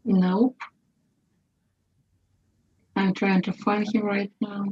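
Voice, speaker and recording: A woman's voice: mid-range voice, little pitch movement, drawn-out words, darkish tone, muffled recording. A woman on a call making brief, playful remarks.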